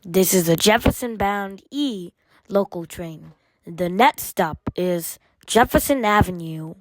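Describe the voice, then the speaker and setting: A woman delivers scripted transit announcements in a slow, measured way. Her phrasing is formulaic and segmented, like an automated train announcer. The sound is dry and close, with a mid-range pitch. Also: animated, dry recording.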